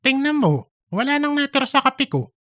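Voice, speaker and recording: A woman's voice: high voice, big pitch swings, normal speed, a bit bright, no room sound, studio quality, pitched above the performer's own voice. A woman playing a nervous, deferential male office worker, easily irritated, in an audio story. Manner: deferential, peppering replies with honorifics.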